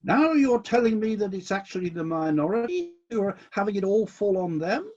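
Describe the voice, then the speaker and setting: A man acting in a radio play as God, a disillusioned but decisive ruler of heaven, with indignant questions and deliberate commands. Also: high voice, sing-song, a little mellow, highs cut off. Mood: incredulous, dismayed.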